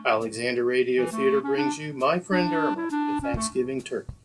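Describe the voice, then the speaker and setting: Radio announcer man over loud piano music. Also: mid-range voice, moderate pace. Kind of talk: scripted old-time radio introduction.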